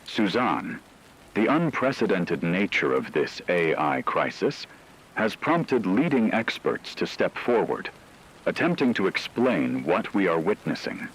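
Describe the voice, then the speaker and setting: A man playing a grave radio news anchor in a scripted drama, speaking in a measured, formal way over a noisy background. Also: deep voice, sing-song, slightly rough, exaggerated voice. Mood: grave, urgent. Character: grave male radio news anchor reporting a global AI crisis.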